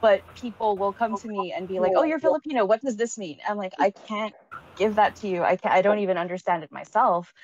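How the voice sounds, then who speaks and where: A woman's voice: medium pitch, fast talker, darkish tone. A woman from Canada speaking on a casual panel discussion, hesitant, with many ums and restarts. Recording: dull lo-fi sound.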